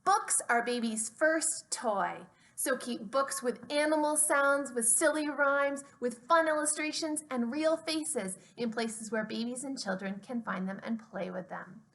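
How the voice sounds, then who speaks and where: A woman hosting a story time for small children, speaking in a simple, expressive, child-directed way as she narrates. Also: high-pitched, measured pace, few pauses.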